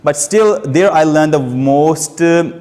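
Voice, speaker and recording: A man's voice: fairly high pitch, slow pace, a little mellow. A young man from Pakistan giving a speech about his life, speaking with a stammer, full of hesitations and repeated sounds. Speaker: club member giving a speech. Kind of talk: prepared personal speech. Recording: long hall reverb.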